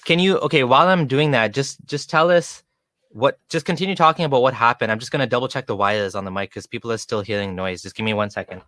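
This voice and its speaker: A man's voice: mid-range voice, quick pace, pushed and bright, no echo. A man hosting a casual livestream interview, talking informally and often rephrasing himself as he goes. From Kenya. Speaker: interviewer, show host.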